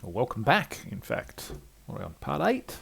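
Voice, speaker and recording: A man's voice: mid-range voice, huge pitch swings, measured pace, gravelly, breathy edge. An older man giving commentary as host of a video game let's play.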